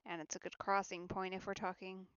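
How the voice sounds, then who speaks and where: Woman doing casual lets play commentary, average pitch. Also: level tone, brisk, fairly bright tone, smooth, no room sound, muffled recording. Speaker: game streamer.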